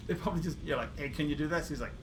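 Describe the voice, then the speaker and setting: A man's voice: fairly high pitch, very fast. A man from Canada casually commenting over a game stream, rambling, trailing off and chuckling between thoughts. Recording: home stream with background noise.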